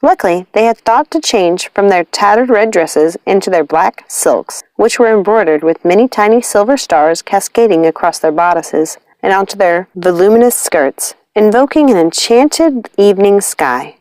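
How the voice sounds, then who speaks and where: A woman reading a fantasy storybook aloud in an expressive narrating style. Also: medium pitch, moderate pace, few pauses, no echo, poor audio. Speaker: audiobook reader.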